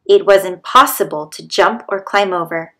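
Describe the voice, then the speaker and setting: A woman's voice: medium pitch, normal speed, fairly bright tone. A woman reading a children's story aloud as a storybook narrator. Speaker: storybook reader.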